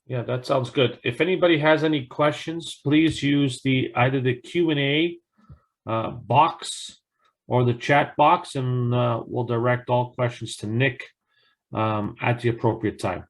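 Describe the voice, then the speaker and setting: A man's voice: mid-range voice, fairly even intonation, measured pace. Man hosting an online interview. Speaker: host.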